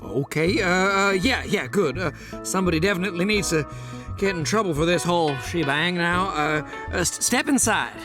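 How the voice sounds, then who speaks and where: A man's voice: sits high, animated, moderate pace, music in the background. An actor playing a touchy, folksy, easily flustered man in a western audio drama. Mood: bumbling.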